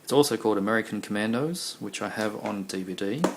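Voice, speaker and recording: A man's voice: fairly low pitch, fast. A man showing his video collection in a casual, rambling way, full of ums, while losing his voice. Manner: rambling, many ums and uhs. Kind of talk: casual show-and-tell. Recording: noisy home recording.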